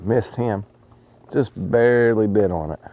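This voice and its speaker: Middle-aged male angler, outdoors on a lake boat, relaxed instructional talk with frequent fillers. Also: lowish voice, a little slow, highs cut off. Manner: relaxed, explains as he fishes, many ums and restarts. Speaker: fishing pro. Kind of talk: casual how-to fishing talk.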